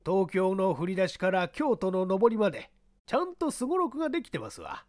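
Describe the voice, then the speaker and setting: A man playing an excitable young sidekick in a mystery story reading, exclaiming in colloquial speech. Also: sits high, fairly quick, no room sound, studio quality, pitched above the performer's own voice. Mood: chatty, animated. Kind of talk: radio-drama style mystery reading.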